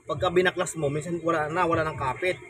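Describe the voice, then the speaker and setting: A man's voice: a little high, fairly even intonation, fast, fairly bright tone. A man with a Filipino accent casually narrating a repair tutorial, chatting informally to viewers as a repair vlogger. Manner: informal, addresses viewers chummily.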